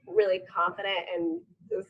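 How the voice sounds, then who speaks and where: A woman's voice: mid-range voice, very animated, normal speed, gravelly, lo-fi sound. A woman co-hosting a podcast in casual chat, giggly and teasing. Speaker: podcast co-host.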